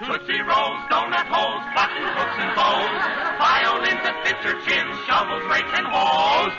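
Chirpy elevator operators singing in quartet, very high, lively, with loud music. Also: male voice, unhurried, a loud crowd. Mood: cheerful. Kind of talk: 1940s radio jingle singing. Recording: muffled 1940s radio recording.

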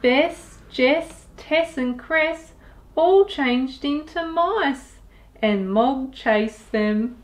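Casting: female storytime reader, 30s, highish voice, extremely unhurried delivery, expressive with young listeners. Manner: expressive, deliberate, with sound effects and asides to listeners. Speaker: children's storytime host. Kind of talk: picture-book read-aloud for young children.

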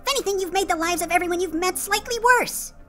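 Woman playing a very high-pitched, snappy little fairy. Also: fast, over music. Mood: exasperated. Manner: snappy, sarcastic, exasperated. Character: small exasperated, scolding female fairy sidekick. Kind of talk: parody game dub acting.

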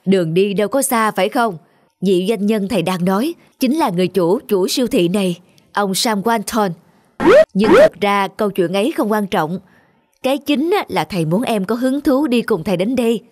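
A woman's voice: medium pitch, expressive, moderate pace, a little mellow, dry recording, close to an everyday voice. A woman voicing an encouraging middle-aged male teacher in a cartoon, instructive and reassuring. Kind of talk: animated moral-story dub.

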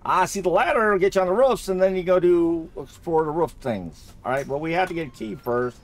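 A man giving casual, chatty gameplay commentary, thinking aloud and getting excitable. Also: a little high, a little fast. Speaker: gamer recording a play-through.

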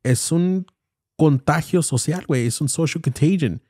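A man's voice: on the high side, measured pace, darkish tone, slightly rough, dry recording, clean studio sound. Insistent Mexican man arguing hard. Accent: Mexican Spanish. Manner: insistent, argumentative, heavy slang. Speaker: podcast co-host. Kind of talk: heated casual debate. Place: small studio with foam panels. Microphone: studio mic on boom arm, headphones.

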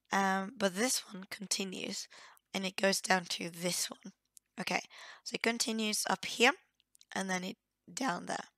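A woman with a croaky, cold-hoarse voice, giving casual, hesitant let's play commentary as she thinks aloud. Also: medium pitch, a bit bright, no echo. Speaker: gaming video host.

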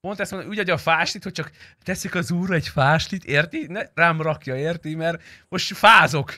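A man's voice: fairly high pitch, bright, forceful tone, no echo. A man in his twenties improvising in-character game roleplay chat in a cheeky, joking manner, close on a streaming microphone. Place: indoor streaming room at home.

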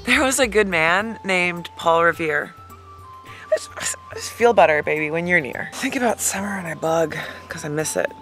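A woman in her thirties giving a dramatic, mock-emotional reading of song lyrics, with halting, deliberate pauses, recorded outdoors on a handheld camera. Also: fairly low pitch, fairly bright tone, faint music behind. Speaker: comedic video host. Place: lying outdoors in a hammock. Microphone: camera held at arm's length.

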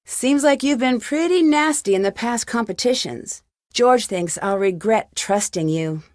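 A woman's voice: on the high side, lively intonation, unhurried, dry recording, studio quality. A woman voicing an inquisitive, friendly young amateur sleuth in a video game, speaking in a questioning, conversational way. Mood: pointed. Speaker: game protagonist. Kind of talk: video game dialogue lines.

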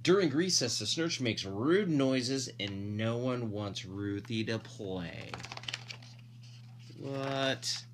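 Dad doing story time, super animated, takes it slow, chatty asides, slightly noisy home recording. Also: male voice, mid-range voice. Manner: expressive, drawn-out emphasis, playful commentary to listeners. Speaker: parent reading a picture book to children. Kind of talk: animated storybook read-aloud with casual asides.

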